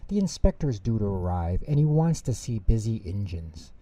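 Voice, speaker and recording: Eager young male tank engine, acted by a man, cheerful, earnest, lively swooping pitch, storybook character. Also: normal speed. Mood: cheerful asking.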